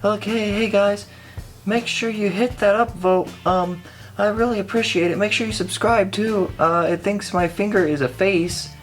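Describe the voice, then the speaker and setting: A high-pitched YouTuber pleads for approval in a hesitant way as a man puts the voice on. Faint music plays behind the voice. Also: fairly even intonation, moderate pace, lightly acted. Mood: mock pleading. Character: high-voiced YouTuber begging viewers to like and subscribe.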